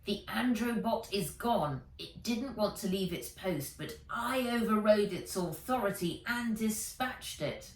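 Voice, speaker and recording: A woman's voice: mid-range voice, lively intonation, measured pace, hardly any pauses, pitched above the performer's own voice. A woman storyteller puts on a helpful male dragon robot from a children's storybook. The robot speaks in exact, formal phrases with a loyal, logical temper. The sound is roomy and set back from the microphone. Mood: matter-of-fact. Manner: formal, precise, robotic wording. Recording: roomy echo, distant microphone.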